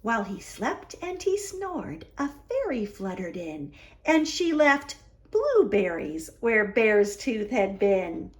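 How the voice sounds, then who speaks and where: A woman reading a picture book aloud for a children's story hour, expressive, with drawn-out emphasis on key words. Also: medium pitch. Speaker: library story hour reader.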